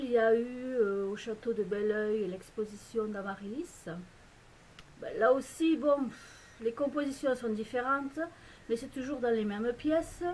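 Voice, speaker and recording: A woman's voice: a little high, a little mellow, slight background noise, low-quality recording. A woman from France with a French accent, chatting casually to camera in a rambling, hesitant way with many fillers and restarts. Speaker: vlogger. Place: home in a Belgian village.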